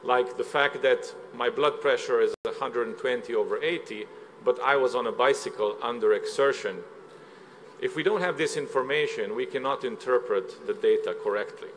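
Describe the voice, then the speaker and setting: A man from Slovenia presents at a conference in a mid-range voice, explaining each point steadily and pausing on filler sounds. Faint room ambience sits behind him. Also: mid-range voice, a bit bright, slight background noise, low-quality recording. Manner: measured, explanatory, with frequent "uh" fillers. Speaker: conference speaker. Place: conference hall.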